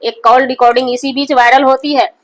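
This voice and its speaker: A woman's voice: sits high, level tone, fairly quick. A woman gives opinionated news commentary in an emphatic, repetitive and accusatory manner. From India. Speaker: online commentary host.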